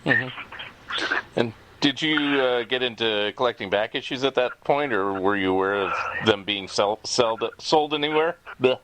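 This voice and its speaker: A man's voice: medium pitch, measured pace. Male podcast host over noisy line. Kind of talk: casual interview chat. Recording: clear noise, clicks, call audio.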